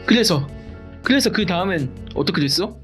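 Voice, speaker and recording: A woman acts out an excitable storybook sidekick with a hugely lively, swooping delivery. The figure is blunt and slangy, forever exclaiming and questioning in disbelief. Light music plays behind the voice. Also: fairly low pitch, moderate pace, close to an everyday voice. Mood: incredulous. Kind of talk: animated explainer dialogue.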